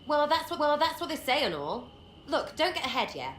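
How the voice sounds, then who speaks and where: Lively, cheeky English rogue girl. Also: high-pitched, fairly quick. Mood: blunt, rambling. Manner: slangy, blunt, rambling, mocking. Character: cheeky, irreverent young rogue archer woman, scrappy commoner. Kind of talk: video game dialogue acting. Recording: roomy echo, faint background noise.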